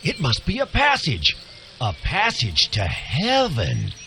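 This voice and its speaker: An actor playing a gullible, earthy peasant in a comic video game, plain-spoken and downtrodden. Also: male voice, mid-range voice, huge pitch swings, slow, bright, forceful tone, heavily acted. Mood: awed hope. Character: grumbling, gullible peasant fleeing a dark overlord. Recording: clear ambient noise behind.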